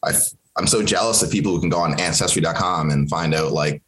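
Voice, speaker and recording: Very deep-voiced man hosting a relaxed chat. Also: quick pace. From Kenya. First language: Swahili. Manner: relaxed and chatty, with many fillers and restarts. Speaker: podcast host. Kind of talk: conversational interview.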